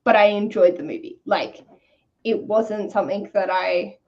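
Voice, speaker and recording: A woman's voice: mid-range voice, normal speed, lo-fi sound. A woman chatting casually and candidly, with informal, slangy wording, as a co-host of a book discussion. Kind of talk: casual chat.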